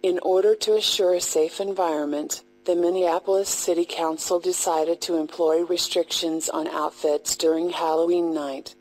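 A woman reading a scripted emergency announcement in a measured, impersonal, formal way. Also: on the low side, steady tone, a little mellow, rough recording.

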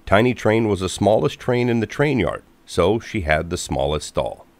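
A man narrating a children's storybook from a script in an expressive, clearly paced manner. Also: fairly low pitch, moderate pace, dry recording.

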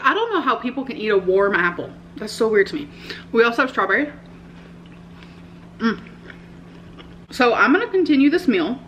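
A woman's voice: fairly high pitch, fairly bright tone, slight background noise, low-quality recording. A young adult American woman vlogging in a casual, slangy way, talking to camera at home. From United States. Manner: informal, slangy, frequent "like". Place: indoor living room at home.

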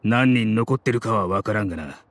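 A man's voice: lowish voice, animated, a little fast, faint music behind. An actor playing a resolute, dutiful male desert mercenary in an anime game, speaking in a firm, commanding way. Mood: steady.

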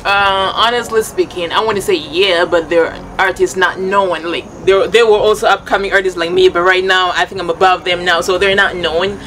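A woman's voice: lowish voice, steady tone, moderate pace, hardly any pauses, a bit bright. A young woman singer talks in a laid-back, slangy American style. She speaks into a handheld mic outdoors, with faint noise behind her. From northern Uganda. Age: young adult. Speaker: guest singer. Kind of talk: casual interview. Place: outdoors by a painted wall.

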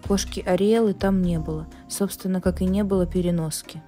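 Woman doing a dramatic voice-over, mellow warm tone, measured storytelling. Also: lowish voice, fairly even intonation, soft background music. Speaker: vlogger narrating. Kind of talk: scripted voice-over narration.

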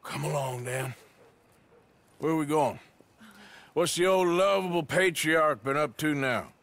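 An actor playing a rough American outlaw gunslinger in a video game, dry and sarcastic with understated menace. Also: male voice, a little high, unhurried, fairly bright tone. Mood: wry, wistful. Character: rough, sardonic, long-haired bearded outlaw gunslinger. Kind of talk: video game cutscene dialogue.

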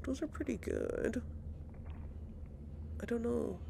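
A woman's voice: fairly high pitch, wildly swooping pitch, slow, creaky, background noise. A woman hosting a casual video game let's play, thinking aloud as she goes. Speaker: gameplay host. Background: video game sounds.

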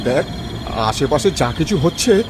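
A man's voice: fairly high pitch, very animated, measured pace, noisy background, pitched above the performer's own voice. A man voicing a wary, frightened young friend in a cartoon horror story, hesitant in his speech. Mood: wary, uneasy. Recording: music behind the voice.

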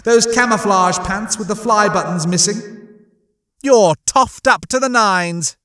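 A man's voice: high voice, measured pace, fairly bright tone, echoey room, pitched above the performer's own voice. A man voicing a vain, touchy, sneering male officer in an indignant, pompous manner for a comic audiobook. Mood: scornful.

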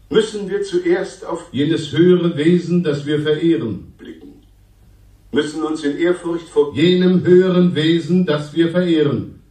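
A man's voice: a little high, a little mellow, reverberant, distant mic, rough recording. An actor playing a pompous, self-important male essayist, ponderously declaiming a lofty lecture in a German radio drama.

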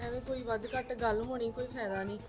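A woman playing a subdued young married daughter who is close to crying and speaks quietly and softly. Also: fairly high pitch, fairly even intonation, fast, lightly acted.

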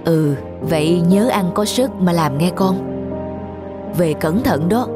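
A woman playing a gentle, caring elderly country mother in an animated drama, softly fussing over her child. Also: on the low side, slow. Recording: music under the voice.

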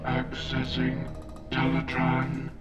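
A mechanical robot servant reports in a dead-flat drone, as a man voices him. He speaks slowly and evenly. The voice is echoey and distant, with loud music. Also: medium pitch, lightly acted.

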